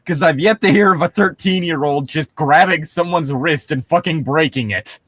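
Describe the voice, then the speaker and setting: A man's voice: a little high, moderate pace, fairly bright tone, dry recording, studio quality. A man co-hosting a comedy read-aloud, talking casually with sarcastic, sweary commentary. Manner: sarcastic, foul-mouthed. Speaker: podcast co-host reading horror fiction aloud.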